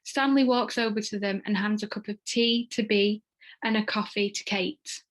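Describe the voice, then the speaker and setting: Woman narrating a script, mid-pitched. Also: steady tone, normal speed. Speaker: stage direction reader.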